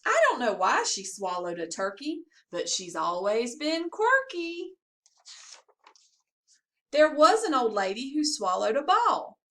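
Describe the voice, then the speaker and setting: A woman with a high-pitched voice reads a children's story aloud. She takes her time with each line and swings widely up and down in a sing-song, expressive way, like a school staffer reading to young pupils. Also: unhurried. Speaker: assistant principal reading to pupils. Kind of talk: children's picture-book read-aloud.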